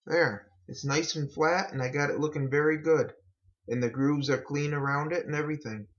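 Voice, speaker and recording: A man giving a casual how-to narration in an unhurried, folksy way, talking through each step as a hobbyist. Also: a little high, steady tone. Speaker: hobbyist demonstrating a repair. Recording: dull, lo-fi home recording.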